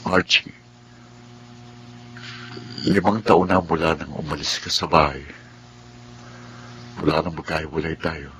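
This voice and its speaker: Very deep-voiced, pleading Filipino husband. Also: male voice, steady tone, unhurried, pauses often, sharp tone, a little hiss or hum, heavily acted. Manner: solemn, imploring. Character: pleading estranged husband, worried for his ailing mother. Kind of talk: radio drama acting.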